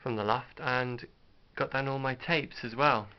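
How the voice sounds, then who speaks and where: A man's voice: mid-range voice, measured pace, clear, resonant tone. A middle-aged British man talking casually and unscripted in a hesitant, rambling, understated way, like a host showing off a collection. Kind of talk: casual unscripted show-and-tell vlog. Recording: dull lo-fi home recording.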